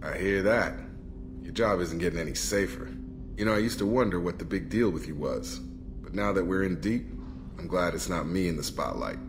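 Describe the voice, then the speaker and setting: A man playing a deep-voiced, easygoing ex-soldier. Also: normal speed, noisy background, lightly acted. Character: ex-military male officer, relaxed and candid. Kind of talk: video game dialogue.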